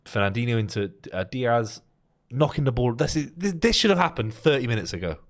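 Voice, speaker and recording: A man co-hosting a casual live football watchalong, animated and pleading. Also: medium pitch, fairly quick. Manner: animated, pleading, gestures with his hands. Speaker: co-host, football fan.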